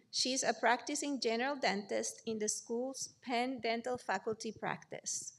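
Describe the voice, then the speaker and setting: A woman acts as host of an academic event. Her voice sits a little high with clear vocal fry as she formally reads out introductions. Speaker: symposium host introducing speakers. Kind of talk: formal introduction read from notes.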